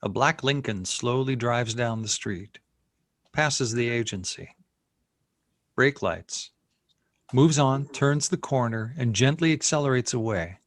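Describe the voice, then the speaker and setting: A man's voice: mid-range voice, animated, takes it slowly, dry recording. A man reading scene headings and stage directions as narrator at a screenplay table read.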